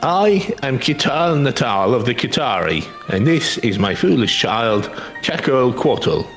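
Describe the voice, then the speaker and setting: A man's voice: medium pitch, very animated, a little slow, fairly bright tone, soft background music. A man voicing the ceremonious father of a small mousy tribe in a video game, speaking in a formal, grandiloquent way. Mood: mildly scolding. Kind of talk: video game story dialogue read aloud.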